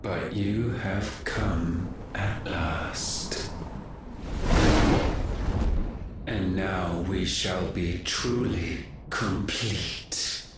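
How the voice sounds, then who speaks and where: An actor playing an ancient, godlike male villain in a video game, cold and solemn, with grave, deliberate delivery. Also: low-pitched, far from the mic, very noisy. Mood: grandly satisfied. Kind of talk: dramatic video game cutscene acting. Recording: heavy echo under loud music.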